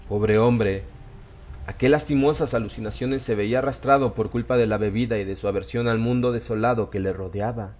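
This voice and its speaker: A man's voice: fairly low pitch, fairly even intonation, brisk, darkish tone. A man narrating a scripted horror audiobook in a dramatic, literary style.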